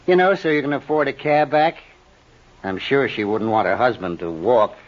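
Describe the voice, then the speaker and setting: An actor playing a slick, wry small-time schemer with a glib, needling manner in an old-time radio crime drama. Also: male voice, medium pitch, moderate pace, dull audio, lightly acted. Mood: wry, insinuating. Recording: old radio show under loud vehicle noise. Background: truck engine and road noise.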